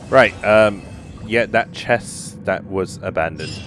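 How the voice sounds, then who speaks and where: A man's voice: on the low side, slow, fairly bright tone. A man giving casual, offhand gameplay commentary as a video host, with game music behind him. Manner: offhand reactions, muttering to himself. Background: video game music and sounds.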